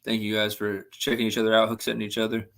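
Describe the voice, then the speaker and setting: A man's voice: fairly low pitch, completely flat delivery, fast talker, vocal fry, fairly bright tone. A young man hosting a casual fishing livestream, chatting and answering viewers' messages, his voice a little worn from talking. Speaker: livestream host.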